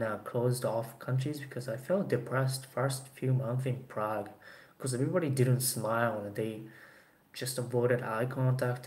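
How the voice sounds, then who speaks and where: A man's voice: mid-range voice, a little mellow. A man in his twenties whose first language is Japanese, chatting casually on a live stream with lots of "you know" fillers, filmed by a webcam in a small room. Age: 20s. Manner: rambling, frequent "you know" fillers, chin in hand. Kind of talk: casual live chat answering viewers. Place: small room with dark backdrop cloth, indoors. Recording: roomy echo, faint background hiss.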